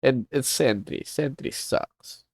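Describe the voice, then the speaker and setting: A man's voice: medium pitch, takes it slowly, gravelly, darkish tone, dry recording, clean studio sound. A man with a Filipino accent, chatting casually on a livestream as a comic artist and rambling as he switches between English and Tagalog. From Philippines.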